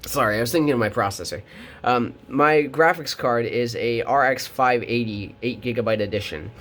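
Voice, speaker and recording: A man's voice: medium pitch, normal speed, fairly bright tone. A teenage boy vlogging from his bedroom, nasal from a cold, rambling with lots of ums and restarts as he moves around the camera mic. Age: teens. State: nasal, getting over a cold. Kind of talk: casual vlog.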